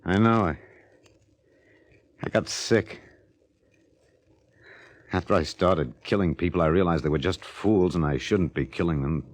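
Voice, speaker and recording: An actor with an American accent playing a bitter, disillusioned astronaut turned killer in a 1950s radio drama, intense and halting. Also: male voice, deep voice, dry recording. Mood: bitter, regretful. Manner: intense, halting, broods aloud. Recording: old 1950 radio recording.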